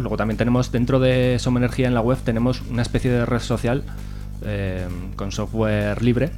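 A man with an accent from Spain, talking informally as a radio guest and searching for his words. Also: on the low side. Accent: Spanish from Spain. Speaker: guest, energy cooperative member. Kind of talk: informal radio interview talk.

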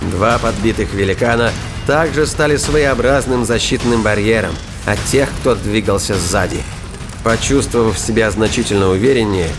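A man with a mid-pitched voice reads scripted narration for a sci-fi thriller audiobook. Music plays clearly behind him. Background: music, battle sound effects.

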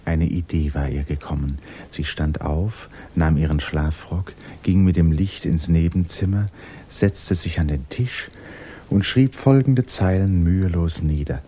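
A man's voice: a little slow, warm tone, husky. A man narrating a literary story in a 1960s German radio drama. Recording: old radio recording with hiss, rumble.

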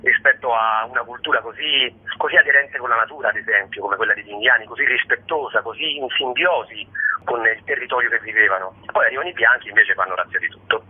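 Husky male interview guest, discursive and expressive, heard over a thin phone line. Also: medium pitch, quick pace. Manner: discursive, expressive storyteller with rhetorical asides. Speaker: guest, stage actor and storyteller. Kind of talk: conversational radio interview.

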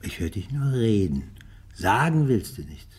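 An actor playing a skeptical police inspector in a radio crime drama, with a husky voice and a blunt, official manner. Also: male voice, lowish voice, big pitch swings, measured pace, darkish tone, slight background noise. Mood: warning. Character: gruff, skeptical male police homicide inspector.

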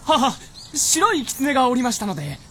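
An actor playing a boisterous castle gate guard who turns servile before his lord, excitable, in a radio drama over noisy effects. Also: extremely high pitch, normal speed, exaggerated voice. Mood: nervous, pleading. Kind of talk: Japanese radio drama acting.

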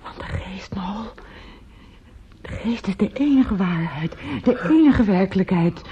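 An actress playing a tender, devout aunt in a radio play, speaking soothingly and earnestly in halting phrases. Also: female voice, mid-range voice, lively intonation, lightly acted. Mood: earnest, hushed. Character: tender, devout aunt consoling a grieving young man. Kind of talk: intimate radio play acting. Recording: muffled, noisy old radio drama recording.

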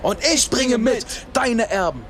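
A man's voice: extremely high pitch, animated, a little slow, a bit bright, a little hiss or hum, exaggerated voice. An actor playing a bitter young man from an immigrant neighbourhood in a radio drama monologue, speaking in rhythmic short phrases with insistent repetition. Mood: bitter, intense. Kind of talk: literary radio drama monologue, spoken-word delivery.

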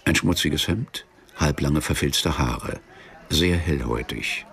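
A man narrating a literary radio drama in a measured, deadpan manner. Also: rumbling low, vocal fry. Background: music behind the voice.

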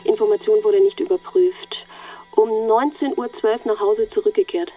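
An actress playing an efficient police colleague who relays messages in a brisk, matter-of-fact way, in a radio crime drama. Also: female voice, medium pitch, fairly even intonation, phone-quality sound, natural voice. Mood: businesslike.

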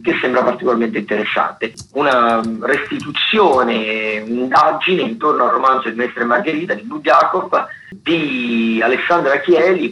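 A man hosting a radio show, talking through theatre listings in a halting, unscripted way. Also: mid-range voice, bright, forceful tone. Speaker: radio host. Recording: dull, lo-fi radio sound with clear noise.

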